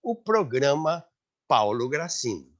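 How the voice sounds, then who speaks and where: A man's voice: mid-range voice, very animated, slow pace, lo-fi sound. A man with a Brazilian accent hosting and narrating a documentary-style history, told as storytelling. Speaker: host and narrator of a radio history series.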